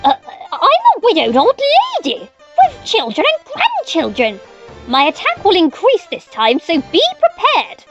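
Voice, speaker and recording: A woman's voice: very high-pitched, huge pitch swings, unhurried, lo-fi sound, soft background music, heavily acted. A woman voicing a flustered, indignant old lady monster in a comic fan dub.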